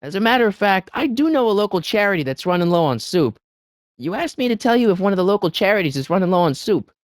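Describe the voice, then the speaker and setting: A man's voice: high-pitched, big pitch swings, dry recording, clean studio sound. A young man with an American accent voicing an earnest, anxious teenage boy in a video game, eager to persuade and often hesitant. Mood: friendly. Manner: hesitant, stammering, eager, fast-talking persuader. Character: earnest, anxious teenage boy hero of a time-travel adventure. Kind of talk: video game character dialogue.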